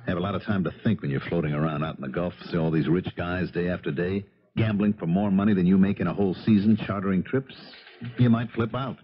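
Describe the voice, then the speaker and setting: An actor playing a shrewd, dogged police lieutenant in a 1970s radio mystery, probing and sardonic in a measured way. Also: male voice, sits low, lightly acted. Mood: musing. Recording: dull, lo-fi 1970s radio broadcast.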